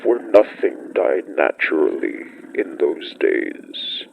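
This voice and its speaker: Man as an ancient gravelly wolf-beast, very deep. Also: big pitch swings, narrow, tinny audio, a little hiss or hum, exaggerated voice. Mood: grave, reverent. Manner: solemn, measured, poetic. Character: ancient great wolf-like beast, solemn pack elder, recalling primeval winters. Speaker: storyteller. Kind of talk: scripted dramatic monologue, horror fiction podcast.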